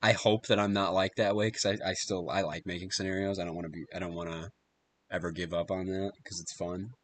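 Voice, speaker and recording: A man's voice: sits low, level tone, fast talker, a bit bright, a little hiss or hum. A man streaming casual reactions to videos, talking in slangy, easily amazed bursts with some swearing. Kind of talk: casual live reaction chat.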